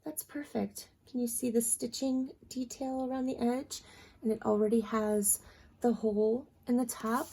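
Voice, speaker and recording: A woman's voice: fairly high pitch, flat delivery, normal speed, warm tone, breathy edge. A woman hosting a crafting tutorial at home, chatting casually with lots of ums and asides, a little sniffly from allergies. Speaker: crafting channel host.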